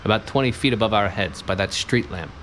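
Low-voiced hard-boiled private eye played by a man. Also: fairly quick, fairly bright tone, slight background noise, natural voice. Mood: brisk, commanding. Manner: clipped wisecracking delivery. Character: hard-boiled male 1920s private detective, cocky and bossy. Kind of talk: noir detective radio comedy acting.

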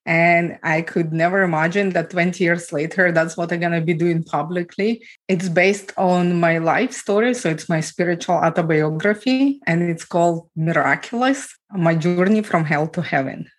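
A woman from Ukraine speaking English with a Ukrainian accent and small grammar slips, telling her life story as an interview guest in a steady, matter-of-fact way. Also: fairly low pitch, normal speed, few pauses. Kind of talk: personal testimony in an interview.

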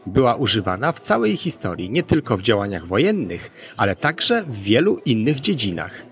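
A man's voice: lowish voice, big pitch swings, normal speed. A man hosting an educational podcast, reading scripted narration.

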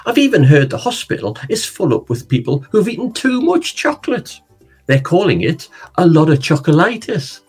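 A man voicing a frazzled, fed-up mum who rants in quick bursts. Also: fairly high pitch, big pitch swings, slightly rough, faint music behind, pitched above the performer's own voice. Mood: exasperated. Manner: exasperated, rapid rants.

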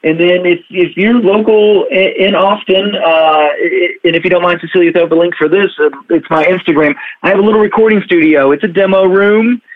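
A fairly high-pitched man talks over a thin, tinny phone line. He chats casually and loosely, full of ums and you-knows. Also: fairly even intonation, brisk, hardly any pauses. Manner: chatty, loose, hesitant with fillers. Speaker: podcaster and guest. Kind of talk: casual chat.

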